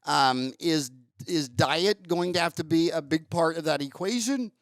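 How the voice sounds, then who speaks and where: A man's voice: on the high side, unhurried, dry recording, studio quality. A man hosting an interview podcast, rambling and searching for words with many ums. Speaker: podcast host. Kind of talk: casual interview chat.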